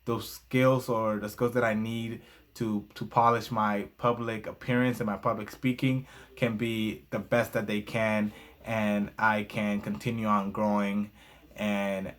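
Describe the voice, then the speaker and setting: A man's voice: on the low side, little pitch movement, unhurried, fairly bright tone. A young man whose first language is Spanish reviews a book in a casual vlog, hesitating often with fillers and glancing at his notes.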